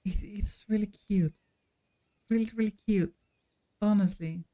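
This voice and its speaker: A woman streamer chatting casually with her viewers in a friendly, unhurried way while playing a game. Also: mid-range voice, warm tone.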